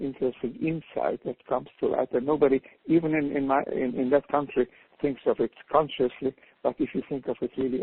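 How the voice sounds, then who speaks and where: An older man from Hungary, speaking English with a Hungarian accent, talks earnestly on a panel as an author calling in by phone, often repeating words as he searches for them. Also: medium pitch. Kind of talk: reflective panel talk by phone. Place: calling in from home abroad. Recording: husky tinny phone line.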